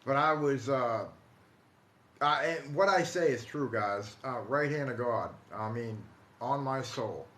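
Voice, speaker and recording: A man in his forties with an American accent, telling personal stories casually on a live stream in a halting, repetitive, conversational way. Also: medium pitch, fairly bright tone. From Ohio, United States. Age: 40s. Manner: halting, repeats himself, conversational. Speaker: host of a paranormal storytelling live stream. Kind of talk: casual live storytelling to viewers. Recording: roomy echo, light background noise.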